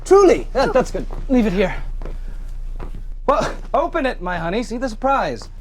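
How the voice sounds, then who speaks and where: A man in his thirties playing a smooth, cold Victorian swindler and killer on stage, with deliberate, commanding theatrical delivery. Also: extremely high pitch, very animated, slight background noise. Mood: falsely kind, menacing. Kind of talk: stage play acting. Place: theater stage with live audience.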